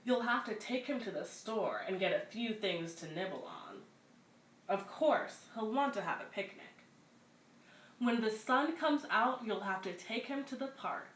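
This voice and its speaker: A woman's voice: mid-range voice, animated, a little slow, fairly bright tone. A woman hosting a children's story time, reading picture books aloud and chatting casually to listeners. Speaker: community center story-time host. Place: echoey room at a community center. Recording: echoey room, clear background noise.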